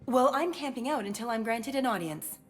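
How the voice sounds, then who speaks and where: High, animated, pushy reporter. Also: female voice, a little fast, husky, soft background music. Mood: insistent. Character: pushy, indignant female news reporter in a sci-fi game.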